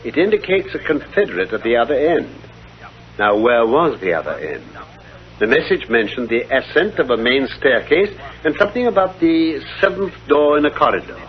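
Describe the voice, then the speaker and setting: A man playing a self-assured British gentleman detective in a vintage radio drama, speaking in precise, formal explanations. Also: medium pitch, sing-song, normal speed, natural voice. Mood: reasoning. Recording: dull, lo-fi vintage radio recording.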